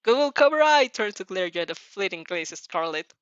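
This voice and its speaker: A woman's voice: medium pitch, very animated, brisk, a bit bright, no echo. Giggly woman reading aloud, dull dry recording. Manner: often stumbles over words and breaks into giggles. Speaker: fan fiction reader. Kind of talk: animated dramatic reading of a story with giggly asides.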